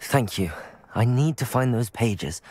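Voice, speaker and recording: A man's voice: medium pitch, lively intonation, a little slow, a little mellow. A man playing an earnest, determined young wizard student in a video game, polite and inquisitive. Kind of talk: video game dialogue acting.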